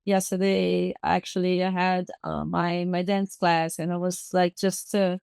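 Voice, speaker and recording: Soft-spoken Brazilian woman, lowish voice. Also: flat delivery, measured pace, gravelly, clean tone, no room sound, clean studio sound. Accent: Brazilian Portuguese. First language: Portuguese. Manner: thoughtful, searching for words, many fillers. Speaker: guest artist and activist. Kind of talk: podcast interview.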